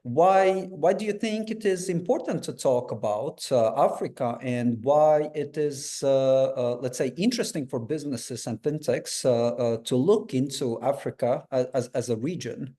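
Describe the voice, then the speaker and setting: A man's voice: medium pitch, moderate pace, few pauses, warm tone. A man hosting a webinar over a video call, talking in a friendly, loose, conversational way. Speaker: webinar host. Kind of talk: webinar interview.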